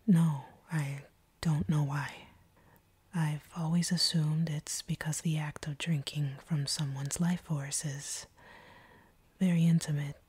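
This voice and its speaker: A woman performs as an ancient female vampire in an intimate audio roleplay. Her voice is a dark, very breathy whisper, husky and close in a dry recording. She speaks in a deliberate, hushed way, a stern captor who is softening. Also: deep voice, fairly even intonation, no room sound, exaggerated voice. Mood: hushed, confiding.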